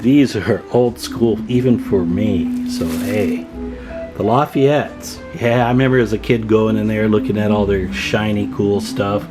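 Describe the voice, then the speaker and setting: A man's voice: fairly low pitch, unhurried, over music. A middle-aged man with an American accent, talking casually and informally through a hands-on demonstration, half to himself as he works. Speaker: hobbyist radio repair host.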